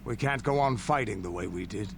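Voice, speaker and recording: An actor playing a proud, gruff veteran alien warrior in a video game, telling war stories in a gravelly, deliberate, boastful manner. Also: male voice, fairly low pitch, lightly acted. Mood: weary. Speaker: game character. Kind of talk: dramatic scripted video game dialogue. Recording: game audio under steady noise.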